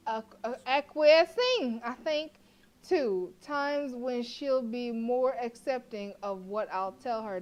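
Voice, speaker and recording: A woman's voice: medium pitch, a little hiss or hum. A young woman streaming a horror game, reading the narration aloud expressively and chatting with viewers. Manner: expressive, laughs often, chats to viewers between read lines.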